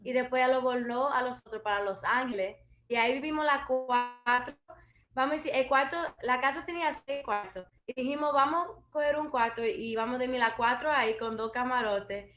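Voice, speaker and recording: A woman singer tells a story in a relaxed, casual way. Her voice sounds roomy, with faint music in the background. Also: high-pitched, fast. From USA, New Jersey and Florida. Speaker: singer, interview guest. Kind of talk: casual interview.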